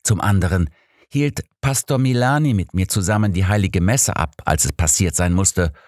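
A man's voice: sits low, moderate pace, a little mellow, no room sound, studio quality, pitched above the performer's own voice, lightly acted. A man voicing a distraught, devout cardinal in an audiobook, speaking formally with grave, pleading earnestness. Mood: earnest, insistent.

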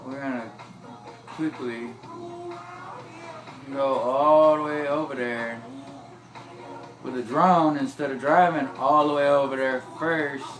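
Slow, relaxed man. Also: mid-range voice, low-quality recording. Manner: relaxed, rambling, drawled, says y'all. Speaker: gameplay tips narrator. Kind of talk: casual gameplay walkthrough. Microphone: phone filming a TV screen. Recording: game music playing behind.

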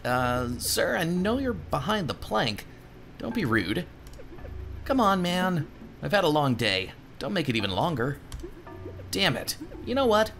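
A man voicing an exasperated, sarcastic adventurer in a game, in an excitable, theatrical manner. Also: fairly high pitch, unhurried, faint music behind, full character voice. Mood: impatient. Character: exasperated, sarcastic male adventurer and new homeowner.

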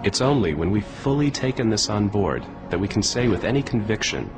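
A middle-aged American man presenting a documentary in an earnest, measured way, speaking to camera in a studio. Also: lowish voice, big pitch swings. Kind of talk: scripted documentary narration. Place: photo studio, indoors. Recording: music bed underneath.

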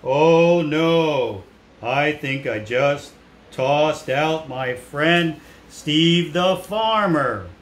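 A man as a slow, hapless puppet fellow. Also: on the high side. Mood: dismayed. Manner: drawn-out, sighing, hapless delivery. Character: slow, distracted, apologetic male puppet named Dennis. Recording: roomy echo with some background noise.

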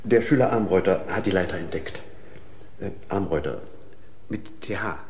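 Fussy earnest male teacher in a radio crime play, lowish voice, echoey and noisy. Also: moderate pace, a little mellow. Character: fussy schoolteacher haggling on a class outing. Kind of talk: German radio crime drama acting. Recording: echoey room with clear noise.

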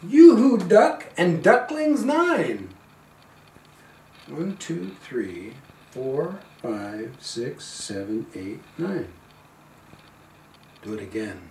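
An older man, around seventy, reading a children's picture book aloud in an expressive, singsong and playful way. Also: a little high, slight background noise. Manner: slow, singsong, playful calls with quieter asides. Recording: roomy, a little far from the mic.

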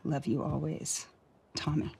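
An actress playing a stern but caring middle-aged settlement leader in a naturalistic game cutscene, speaking plainly and firmly. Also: female voice, low voice, flat delivery, measured pace, creaky, dark tone, slightly rough, full character voice. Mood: grim, reading. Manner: plainspoken, firm.

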